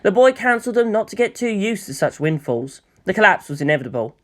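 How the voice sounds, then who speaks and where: A narrator reading fan fiction aloud, changing pitch and pace for emphasis and voicing the characters. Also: very animated, quick pace, fairly bright tone.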